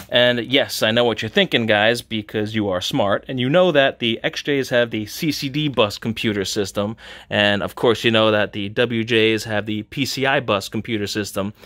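An upbeat man in his thirties talks excitedly about his finds, chatty and quick to laugh. He speaks in a home garage workshop into a camera held at arm's length. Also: fairly low pitch. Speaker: channel host. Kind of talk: casual car-parts vlog. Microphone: handheld camera at arm's length.

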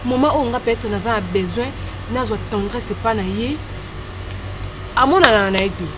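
A woman's voice: fairly high pitch, moderate pace, background noise, natural voice. Lovelorn young Congolese woman, played by an actress. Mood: troubled, complaining. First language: Lingala. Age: young adult. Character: lovelorn, stubborn young woman confiding in a friend. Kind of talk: filmed Congolese popular theatre dialogue. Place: outdoors in a walled garden.

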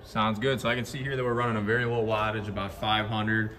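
Man, low voice, casual shop demo, asks then explains, indoor workshop. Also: little pitch movement, quick pace, a bit bright, slight background noise. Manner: asks leading questions, then explains step by step. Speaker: welding demonstrator.

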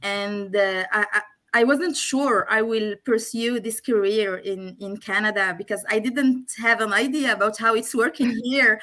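Bright-voiced woman from Morocco, hesitant. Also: on the high side, fairly even intonation, unhurried. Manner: hesitant, many fillers and restarts. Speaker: guest, legal operations specialist. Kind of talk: casual video-call interview. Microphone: video call, laptop camera.

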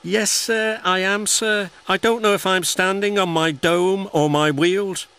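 A man's voice: high-pitched, fairly even intonation, unhurried, pushed and bright, clean tone, pitched above the performer's own voice, heavily acted. A man voicing a small, tired young male engine who is meek and eager to please. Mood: weary. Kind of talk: storybook character voice.

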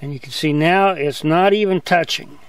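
An older man giving a relaxed, step-by-step outdoor demonstration as a sawmill instructor, talking in a plain, easygoing way. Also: on the high side, normal speed, clear, resonant tone. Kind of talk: hands-on demonstration. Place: outdoors on a grassy lawn.

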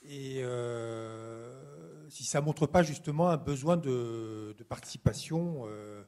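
Slow, mellow man asking a halting question. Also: medium pitch. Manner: halting, tentative phrasing. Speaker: audience member asking a question. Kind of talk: spoken question at an academic panel. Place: lecture hall at a public panel.

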